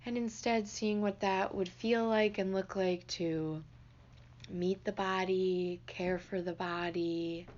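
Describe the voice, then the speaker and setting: A woman leading a guided meditation in a gentle, unhurried, soothing manner. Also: medium pitch, steady tone, dull audio. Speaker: meditation teacher.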